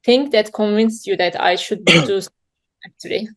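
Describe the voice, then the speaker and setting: Woman, interviewer, friendly, chatty, filler words, mid pitch. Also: takes it slowly. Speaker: interview host. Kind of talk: casual interview.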